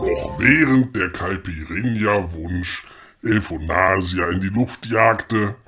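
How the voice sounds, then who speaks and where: A man playing a storyteller who reads a mock-solemn fairy tale deliberately and with dramatic relish. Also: deep voice, a bit bright, slightly rough, full character voice.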